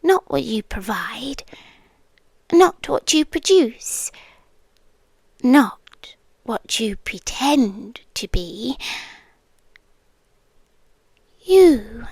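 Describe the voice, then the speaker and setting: Woman acting a slow, high-voiced ancient British predator fae. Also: big pitch swings, vocal fry, husky, no room sound, heavily acted. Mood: tender, possessive. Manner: slow, hushed, deliberate, often dropping into whispers. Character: ancient female predator fae, possessive, teasing yandere girlfriend. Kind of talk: ASMR roleplay. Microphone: close microphone, dry.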